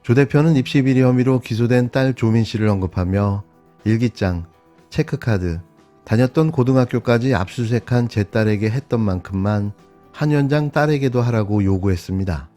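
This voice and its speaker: A man with a mellow, relaxed, dark voice reads a scripted news commentary at a steady pace, his tone sharply opinionated. Faint music plays beneath him. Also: mid-range voice, moderate pace.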